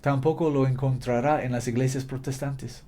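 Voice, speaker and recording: A man's voice: mid-range voice, fairly even intonation, normal speed, warm tone. A man preaching a fervent sermon in a language that is not his native one, exhorting listeners with repetition and occasional slips. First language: not Spanish.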